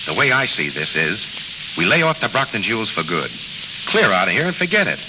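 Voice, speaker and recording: Commanding, low-voiced American gang boss. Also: male voice, huge pitch swings, fairly quick. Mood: firm, evasive. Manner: clipped American gangster slang. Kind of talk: 1930s-40s radio drama acting. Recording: dull, noisy vintage radio recording, long reverb.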